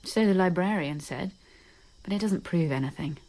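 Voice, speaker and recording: A woman's voice: on the low side, moderate pace, darkish tone, natural voice, low-quality recording. A woman playing a clever, teasing Roman noblewoman. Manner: playful, knowing, teasing.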